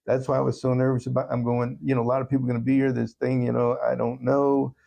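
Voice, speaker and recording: A man speaking as an interview guest, giving earnest, thoughtful answers in a halting, reflective way. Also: mid-range voice, completely flat delivery, vocal fry, mellow. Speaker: talk show guest.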